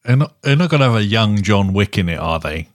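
A man's voice: fairly low pitch, very animated, a little fast. A man hosting a podcast in casual chat, chipping in with short, jokey remarks. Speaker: podcast host.

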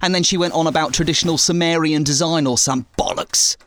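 A man's voice: fairly high pitch, fairly even intonation, a little fast, fairly bright tone, close to an everyday voice. An actor playing a self-pitying, callous married man with a blunt, whining manner in a comedy audio drama. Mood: aggrieved, self-pitying.

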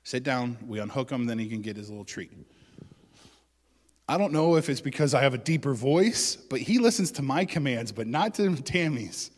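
A male pastor giving a conversational sermon, with joking asides and loud bursts of emphasis. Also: mid-range voice, lively intonation, moderate pace, big-room sound.

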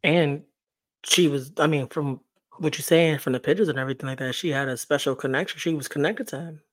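A young adult woman with a deeper voice, hosting a casual interview in a supportive, easygoing way. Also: a little fast. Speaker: host.

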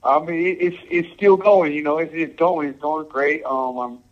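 A man from Samoa and Hawaii, a reggae musician, answering interview questions in a laid-back, halting way, full of "um" and "you know". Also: on the high side, steady tone, muffled recording.

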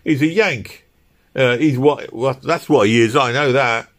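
A man's voice: on the high side, animated, a little slow, pitched above the performer's own voice. A man voicing a chatty, easily delighted young working-class London woman with a Cockney accent in an audiobook. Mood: excited, blunt. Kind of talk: audiobook character dialogue.

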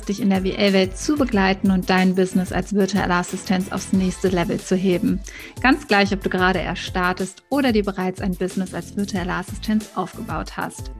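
Female podcast host, mid-range voice, faint music underneath. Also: medium pitch. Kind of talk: scripted podcast intro and interview.

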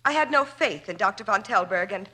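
Genteel society woman; earnest, dramatic, bright, swooping delivery, vintage radio. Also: mid-range voice, lively intonation, breathy edge, poor audio. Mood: grateful, fervent. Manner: dramatic, formal, halting pauses. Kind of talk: 1940s radio drama acting. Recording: old radio broadcast.

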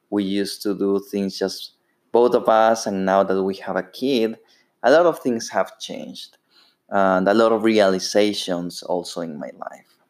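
Ecuadorian-accented man, lowish voice. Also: darkish tone. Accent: Ecuadorian Spanish. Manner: unhurried, many "uh" fillers and restarts. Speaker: podcast host. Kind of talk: solo podcast monologue.

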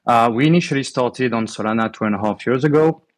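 A man's voice: mid-range voice, fast, no room sound. Man, French accent, casual guest, lots of ums, upbeat, dry close mic. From France. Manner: many fillers, relaxed and upbeat. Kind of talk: casual podcast chat.

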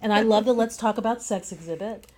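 A woman's voice: medium pitch, fast talker, crowd noise. A woman speaking as an interview guest about their creative work, reflective and earnest, developing long thoughts. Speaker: teacher.

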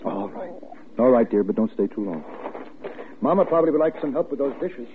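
A man's voice: mid-range voice, lively intonation, fairly quick, noisy background, lightly acted. An actor playing an easygoing, indulgent but dismissive family father in a folksy, paternal way, in vintage radio style. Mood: gently firm. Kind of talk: 1940s radio acting. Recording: muffled, tinny old radio recording.

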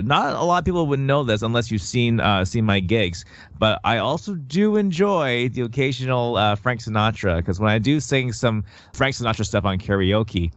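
A man's voice: medium pitch, a bit bright, no echo. A male musician talking as an interview guest, chatty and rambling, often restarting his words. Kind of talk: casual interview. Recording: dull, lo-fi, dry sound.

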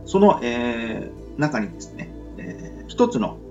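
A man hosting a casual solo podcast in a polite, humble, halting and apologetic way. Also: medium pitch, big pitch swings, creaky, highs cut off, music in the background. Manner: halting, apologetic, self-deprecating. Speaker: podcast host introducing web novels. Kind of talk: casual solo radio talk.

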